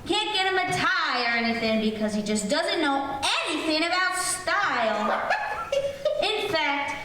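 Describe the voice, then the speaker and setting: In a roomy, echo-heavy recording, a woman plays a catty teenage girl from a radio comedy. She chatters in a high, animated voice, breezily putting people down. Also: unhurried. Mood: catty, amused. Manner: chatty, casually disparaging. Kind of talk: old-time radio comedy acting. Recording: distant, very echoey room with hiss.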